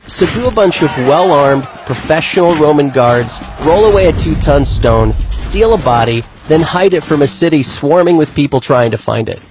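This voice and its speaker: A man's voice: fairly high pitch, darkish tone, lightly acted. An actor playing an earnest male believer who explains his case methodically and articulately in a scripted skit.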